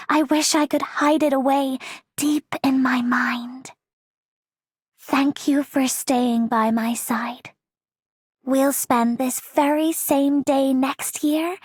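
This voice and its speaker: A wistful, affectionate female game character. She speaks slowly, almost whispering, in a high and breathy voice. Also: dry recording, studio quality, heavily acted. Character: shy, affectionate, wistful young woman.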